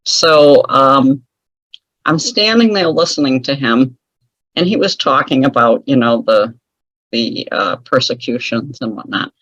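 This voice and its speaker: A woman's voice: extremely low pitch, unhurried, a bit bright, no room sound, highs cut off. A woman telling a personal story as an interview guest, chatty and wry, laughing often at her own remarks. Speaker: guest author.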